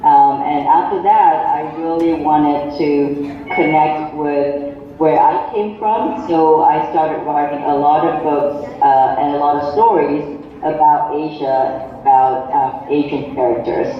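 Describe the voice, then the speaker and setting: A middle-aged woman from Malaysia speaks as a guest author, gentle and unhurried, often pausing to find her words. Her voice comes through a video call that sounds heavily echoey and dull, like lo-fi audio. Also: low voice, steady tone. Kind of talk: answering students' questions.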